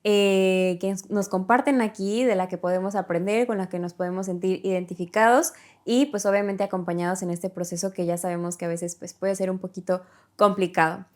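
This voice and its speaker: A woman's voice: mid-range voice, fairly even intonation, fairly quick. A woman hosting a podcast interview, friendly and chatty. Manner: friendly, chatty, laughs easily. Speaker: podcast host. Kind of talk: casual conversational interview. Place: recording in Berlin.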